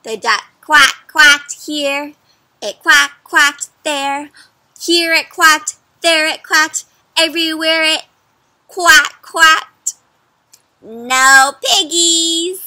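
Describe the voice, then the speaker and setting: Storybook duck: a woman voicing a calm, unbothered duck that chants its answer sing-song in a high, bright voice. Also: takes it slowly, hardly any pauses, full character voice.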